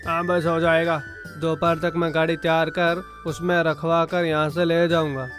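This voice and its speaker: A man's voice: a little high, little pitch movement, moderate pace, fairly bright tone, faint music behind, lightly acted. A man playing a scheming, impatient merchant's servant in a moral-story cartoon, bossy and conspiratorial. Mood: obliging.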